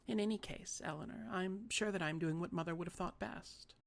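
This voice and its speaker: Narrator doing a prim disapproving woman, raised pitch, gravelly edge, measured. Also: lightly acted. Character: prim, self-righteous middle-aged sister. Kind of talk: audiobook character voice.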